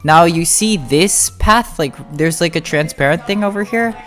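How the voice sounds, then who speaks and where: A game guide host talks viewers through play in a medium-pitched voice. The delivery is informal and halting, like someone thinking aloud while playing. Music runs behind the commentary. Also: expressive, a bit bright. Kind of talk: casual gameplay tutorial commentary.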